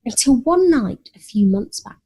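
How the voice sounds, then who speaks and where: A woman voicing a prim, dramatic, easily offended pet cat who narrates a children's story in an expressive, haughty manner. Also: fairly high pitch, moderate pace, husky, heavily acted. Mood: haughty indignation.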